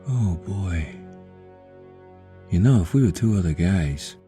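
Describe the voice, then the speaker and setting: An actor playing a brash, sardonic district attorney with a gravelly, husky voice in an audio drama. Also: male voice, low voice, lively intonation, slow pace, dark tone. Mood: cocky, conspiratorial. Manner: sardonic, cocky, informal. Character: brash, sardonic male district attorney, vengeful yet tender husband. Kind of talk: fan audio drama acting. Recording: music under the voice.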